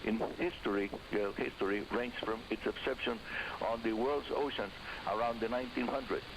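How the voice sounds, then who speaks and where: A man with a Cuban accent, a native Spanish speaker, hosting a radio hobby program in a deliberate announcing style over a telephone line on shortwave radio. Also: medium pitch, fairly even intonation. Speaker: radio host. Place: on a phone line linked to the studio. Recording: hissy, noisy shortwave broadcast, telephone-line audio.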